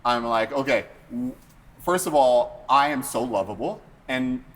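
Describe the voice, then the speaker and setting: An American man hosting a casual podcast chat, animated and joking, with lots of fillers. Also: mid-range voice, a little slow. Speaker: podcast host.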